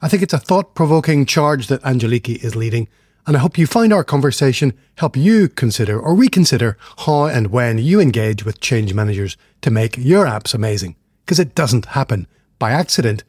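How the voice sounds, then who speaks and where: A man's voice: mid-range voice, lively intonation, a little fast, a little mellow, breathy edge, no echo, studio quality. A man hosting a tech podcast, reading a friendly scripted intro and then asking conversational questions. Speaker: podcast host. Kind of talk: podcast interview.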